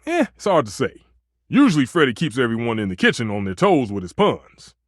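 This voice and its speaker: A man's voice: medium pitch, huge pitch swings, fairly quick, dry recording, studio quality. A man voicing a passionate, proud kitchen stove in a video game, a dramatic food purist who speaks emphatically and with grand flourishes. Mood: concerned, confiding. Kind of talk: theatrical video game character dialogue.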